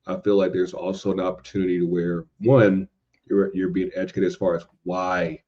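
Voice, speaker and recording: A man's voice: sits low, flat delivery, normal speed, warm tone, lo-fi sound, slight background noise. A man co-hosting a podcast, talking casually and informally with rhetorical asides.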